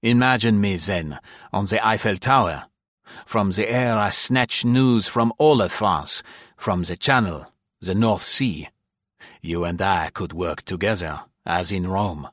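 A man's voice: low voice, fairly bright tone, dry recording, clean studio sound. A man doing a bitter young male spy. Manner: bitter and measured.

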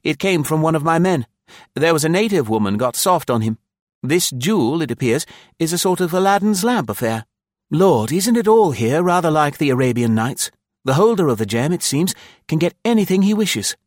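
A man voicing a naive, excitable young British army officer in an audiobook, chattering eagerly in upper-class slang. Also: a little high, moderate pace, no echo, studio quality, pitched above the performer's own voice. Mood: eager, confiding.

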